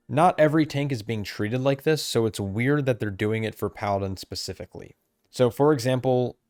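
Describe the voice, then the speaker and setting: A man's voice: mid-range voice, a little mellow, no room sound, clean studio sound. A man losing his voice, talking casually about game changes late at night, rambling and correcting himself as he goes. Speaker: game content creator. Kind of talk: recorded commentary on game changes. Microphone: desk mic, camera off.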